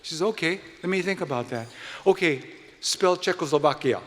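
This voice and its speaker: A man from Hawaii with a Hawaiian accent hosts an event live, giving a folksy, slightly halting speech to guests in a large indoor venue. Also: on the high side, expressive. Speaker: event host and speaker. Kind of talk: live welcome speech with jokes and introductions. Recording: long hall reverb from venue PA.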